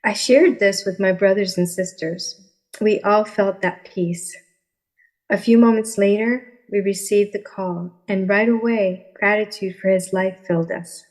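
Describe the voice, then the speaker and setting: A woman with a medium-pitched voice speaks as a guest on a podcast. She tells of personal experience in the manner of a testimony. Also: steady tone, a little slow, darkish tone, rough recording.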